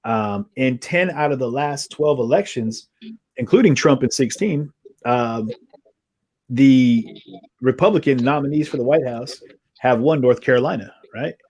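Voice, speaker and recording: Male host, mid-pitched voice, earnest rambling delivery, dry close mic. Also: measured pace, darkish tone, slightly rough, no room sound, low-quality recording. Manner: rambling, earnest, trails off and restarts. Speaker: podcast host. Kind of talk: conversational interview.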